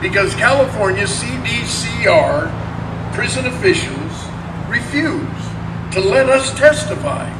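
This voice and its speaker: A man's voice: very high-pitched, lively intonation, measured pace. A man playing a defiant prisoner on hunger strike, reading a written statement in an emphatic, declamatory way. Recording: echoey room, distant mic, clear noise.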